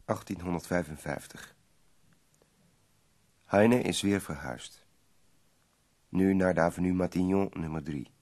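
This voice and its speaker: An actor playing a compassionate, thoughtful friend of an ailing poet, narrating in a radio play with a gravelly voice. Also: male voice, rumbling low, exaggerated voice.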